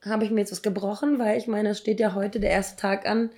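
A woman in her thirties, hoarse from illness, tells personal stories in a casual, emphatic, slangy way. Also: medium pitch, flat delivery, brisk, a little mellow. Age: 30s. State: hoarse, ill. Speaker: podcast co-host.

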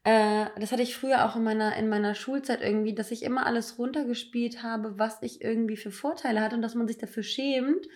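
A woman in casual conversation, thinking out loud emphatically and using slang. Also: on the high side, steady tone, a little fast, hardly any pauses. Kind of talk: casual chat.

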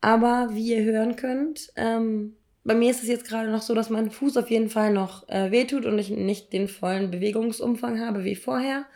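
A woman in her thirties, hoarse from illness, tells personal stories in a casual, emphatic, slangy way. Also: fairly high pitch, steady tone, moderate pace, darkish tone. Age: 30s. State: hoarse, ill. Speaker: podcast co-host.

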